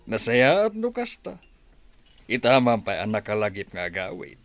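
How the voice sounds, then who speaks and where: A man's voice: fairly low pitch, wildly swooping pitch, soft background music. An actor playing a doting, hesitant elderly father in a radio drama, hemming and murmuring as he talks. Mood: genial, halting. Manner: halting, full of hems and murmurs.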